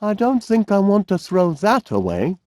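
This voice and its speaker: A man's voice: high-pitched, wildly swooping pitch, normal speed, darkish tone, no echo, studio quality. A man voicing a cheerful, fussy middle-aged inventor with a Northern English accent in a video game, genial and bumbling. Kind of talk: animated video game character voice lines.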